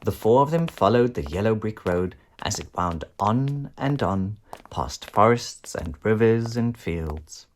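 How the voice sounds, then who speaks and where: A man narrating a children's storybook in an expressive, dramatic way. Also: on the low side, measured pace, a little hiss or hum. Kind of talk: children's storybook read-aloud.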